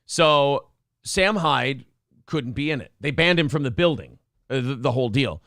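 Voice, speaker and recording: A man's voice: medium pitch, expressive, normal speed, a bit bright, dry recording. A man hosting a morning show, chatting casually with a dry throat, profane and opinionated, acting out quotes in raised voices. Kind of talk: casual talk-show banter.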